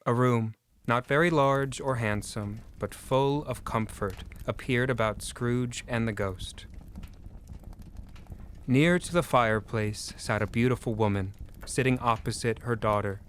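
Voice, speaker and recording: A man's voice: medium pitch, a little slow, a little hiss or hum. A man giving scripted storybook narration for an audio drama.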